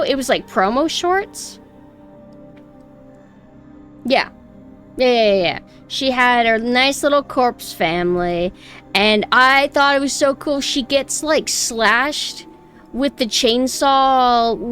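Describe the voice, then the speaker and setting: A young woman with a high voice, chatting casually and snarkily on a stream, her close mic clipping on loud peaks. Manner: chatty, rambling, mockingly snarky. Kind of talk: casual reaction stream chat. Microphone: close mic that clips loud peaks.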